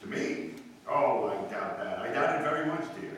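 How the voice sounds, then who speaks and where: A man's voice: medium pitch, animated, moderate pace, fairly bright tone, close to an everyday voice. A man acting a wry, teasing, self-assured society ex-husband in a breezy, bantering 1940s radio-play style. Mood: wry, soothing. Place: live staged radio-play performance in a hall. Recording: roomy, echoey distant live stage recording, faint noise.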